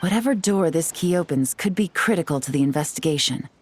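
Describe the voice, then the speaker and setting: A woman's voice: lowish voice, animated, fairly quick, slight background noise, natural voice. A woman playing a cool, shrewd, confident intelligence agent in a video game, speaking in an assured, instructive way.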